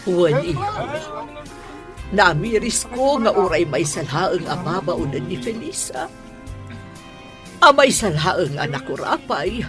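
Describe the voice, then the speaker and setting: A woman with an Ilocano accent playing a motherly older woman in a radio drama, speaking slowly. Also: on the low side, sing-song. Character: mother of the groom, older woman, warm and approving. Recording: clear music bed behind the voice.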